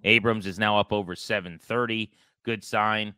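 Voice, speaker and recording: A man hosting a sports podcast, talking baseball in an animated, conversational style with a co-host. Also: fairly low pitch, normal speed, clear, resonant tone, no room sound, clean studio sound.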